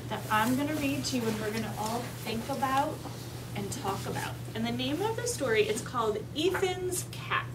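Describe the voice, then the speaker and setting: A woman's voice: on the high side, lively intonation, normal speed. A woman teaching young children through an interactive read-aloud, coaxing them with simple instructions and questions. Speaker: teacher reading aloud to kindergarteners. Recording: distant mic, noisy room.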